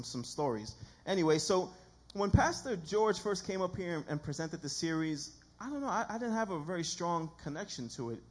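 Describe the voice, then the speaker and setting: A man with a husky voice preaching an informal, colloquial sermon to a church congregation. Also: a little high. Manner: colloquial, self-deprecating, animated mimicry of dialogue.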